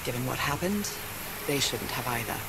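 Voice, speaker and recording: A woman's voice: rumbling low, animated, normal speed, gravelly, heavy background noise, full character voice. An actress playing a curious, refined 1930s woman explorer in a video game, musing to herself in a genteel, articulate way. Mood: uneasy, pondering. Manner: genteel, articulate, wonderstruck exclamations.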